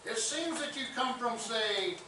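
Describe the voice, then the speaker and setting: A man performs a lecherous, wisecracking detective in a stage farce, pompous and wordy. The pitch moves around a lot. It is heard from a distance in a very reverberant, noisy theatre. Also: expressive, unhurried. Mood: glib, needling. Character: boozy, wisecracking, lecherous male police detective. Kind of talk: farcical comic stage acting.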